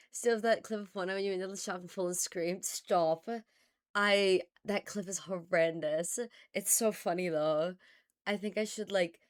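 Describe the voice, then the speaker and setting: A woman streamer with a hoarse, raspy voice, recovering from losing it, chatting casually with her live chat and greeting viewers by name. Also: medium pitch. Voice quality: raspy, slightly gravelly.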